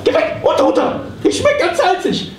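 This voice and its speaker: A man's voice: extremely high pitch, very animated, moderate pace. A man playing a muddled, ranting psychotherapist who stammers and exclaims in a live comedy radio play. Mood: bewildered, alarmed. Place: small dark theatre stage, live. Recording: echoey, noisy stage recording from far off.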